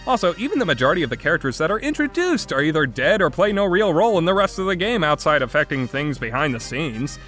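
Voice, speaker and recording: A man's voice: a little high, very animated, fast talker, hardly any pauses, a bit bright, soft background music. A man giving an opinionated game review in a sarcastic, exasperated and emphatic way.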